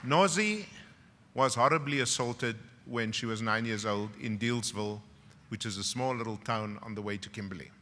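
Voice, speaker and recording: A middle-aged man with a South African English accent giving a graduation speech as a university professor, a dramatic storyteller who swings from loud, wry punchlines to hushed, emotional moments. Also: on the low side, moderate pace, a faint crowd, slight background noise. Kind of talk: ceremonial speech with personal stories. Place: large graduation hall. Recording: long hall reverb from a ceremony PA.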